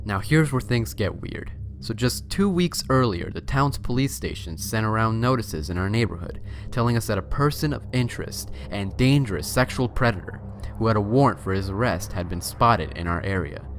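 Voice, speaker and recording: A man with a fairly low voice narrates a creepy tale as its host. His delivery is theatrical and builds suspense. Some noise sits behind the voice. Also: expressive, normal speed. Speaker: host and story reader. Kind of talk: scary storytelling narration.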